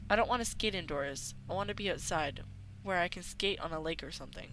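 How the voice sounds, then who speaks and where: A woman's voice: on the low side, quick pace, fairly bright tone, slight background noise, low-quality recording. A woman reading a visual novel script aloud as a let's player, sometimes stumbling over her words. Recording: music playing behind the voice. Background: game music.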